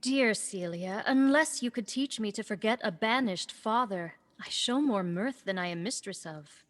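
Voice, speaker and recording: A woman's voice: medium pitch, moderate pace, fairly bright tone, close to an everyday voice. A woman playing a wistful but witty young noblewoman, a banished duke's daughter, in a Shakespearean radio play.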